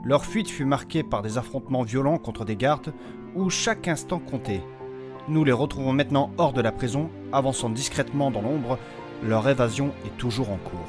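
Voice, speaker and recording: A male narrator reads a dramatic story recap in a scripted style. His pitch is mid-range, and music plays clearly behind him. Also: normal speed. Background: clear background music.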